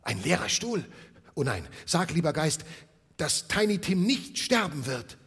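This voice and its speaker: A man's voice: mid-range voice, slow pace, slightly rough, big-room sound. A man playing a shaken old miser. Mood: pleading. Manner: hesitant, pleading.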